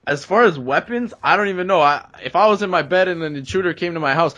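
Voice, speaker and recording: A man's voice: high-pitched, lively intonation, fast talker, a bit bright, muffled recording. A young man from Canada hosting a gaming series with casual storytelling commentary. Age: young adult.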